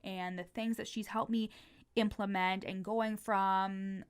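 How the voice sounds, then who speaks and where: A woman's voice: medium pitch, level tone, takes it slowly, smooth. Casual young woman who swears a lot. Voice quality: stutters. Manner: casual, swears freely, lots of "like" fillers. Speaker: podcast host and business coach. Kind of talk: casual solo podcast monologue.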